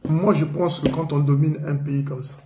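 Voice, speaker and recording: A man's voice: a little high, fairly even intonation, normal speed, mellow, reverberant, lo-fi sound, a little hiss or hum. A man from the Republic of the Congo giving an indignant political commentary on community radio. He repeats himself for emphasis and speaks with feeling. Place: living in France.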